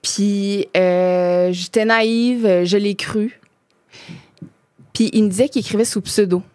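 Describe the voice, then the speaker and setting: A woman's voice: medium pitch, fairly even intonation, slow, darkish tone, dry recording. A woman in her thirties from Quebec with a Québécois French accent, chatting as a podcast guest and talking loosely with many fillers and restarts. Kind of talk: casual chat.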